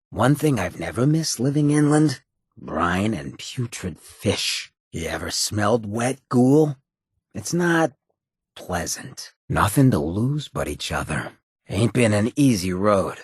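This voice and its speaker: A man playing a roguish, irreverent ghoul mayor in a video game, with a gravelly rasp and a sardonic, streetwise drawl. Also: sits low, no room sound, clean studio sound, exaggerated voice. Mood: fond, sincere. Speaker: video game companion character. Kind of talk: video game companion dialogue, wry asides.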